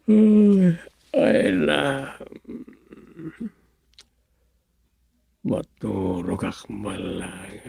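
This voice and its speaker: A man's voice: a little high, vocal fry, mellow, husky, no room sound, exaggerated voice. A man playing a scheming villager in an Ilocano radio comedy drama, musing and muttering with exclamations. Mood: musing, drowsy.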